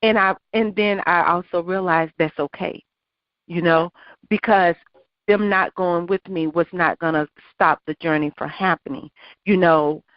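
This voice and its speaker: A woman's voice: fairly low pitch, no room sound. A woman who is a guest author on a faith talk radio show, speaking in a reflective, affirming way. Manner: affirming, reflective, frequent "yes". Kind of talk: casual faith talk interview. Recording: dry, dull lo-fi line.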